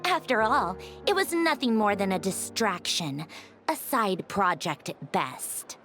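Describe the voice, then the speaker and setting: A woman's voice: medium pitch, animated, measured pace, fairly bright tone. A woman playing a refined young female game villain who speaks pompously, with condescending, menacing politeness. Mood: veiled menace. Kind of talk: theatrical scripted game dialogue. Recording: music behind the voice.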